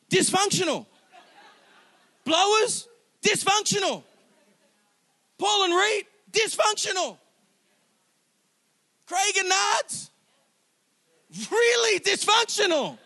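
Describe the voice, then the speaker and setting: A man in his forties preaching a sermon as a pastor, animated and emphatic, joking with his congregation. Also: very high voice.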